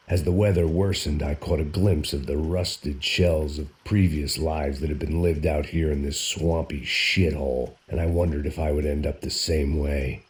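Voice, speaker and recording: An actor playing a weary, bitter, hard-drinking American in a hardboiled noir game voice-over, terse and sardonic. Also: male voice, very deep voice, a little mellow, a little hiss or hum. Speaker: narrating protagonist.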